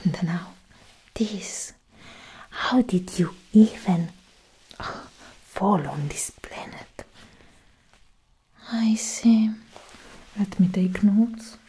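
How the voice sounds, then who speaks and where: A woman playing a stern, haughty alien government agent in a breathy, whispery ASMR roleplay, speaking deliberately. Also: medium pitch, dark tone, exaggerated voice, low-quality recording. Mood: stern, probing. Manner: slow, deliberate, condescending. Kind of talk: soft-spoken ASMR roleplay, half whispered. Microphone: close microphone. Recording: close-miked with audible background hiss.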